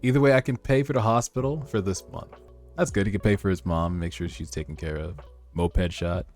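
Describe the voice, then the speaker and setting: Man, very low voice, slangy streamer commentary, informal, faint background music. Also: steady tone, a little fast, darkish tone. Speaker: streamer reading a webtoon aloud and reacting. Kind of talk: casual reaction commentary with read-aloud dialogue. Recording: music behind the voice.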